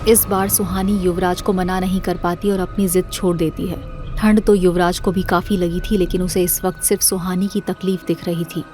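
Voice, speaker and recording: A woman narrates a scripted drama story. Her voice is slightly low and keeps to a very even, flat pitch, with soft music underneath. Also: normal speed.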